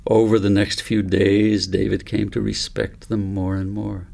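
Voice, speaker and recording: A man narrating an audiobook story in a gentle, measured, deliberate way. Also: on the low side, little pitch movement, creaky. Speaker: author reading own book aloud.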